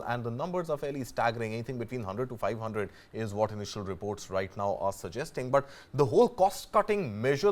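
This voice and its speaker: A man with an Indian accent giving live analysis as a news correspondent. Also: medium pitch.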